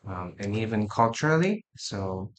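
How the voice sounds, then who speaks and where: A man's voice: on the low side, very animated, normal speed, poor audio. A man in his forties from Korea, carefully introducing himself as a job candidate over a video call. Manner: careful, earnest self-introduction.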